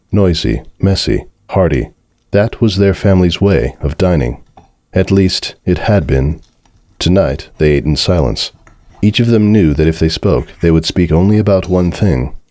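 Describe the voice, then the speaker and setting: Casting: male story narrator, extremely deep voice, dramatic scripted read, close dry sound. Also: dark tone, dry recording.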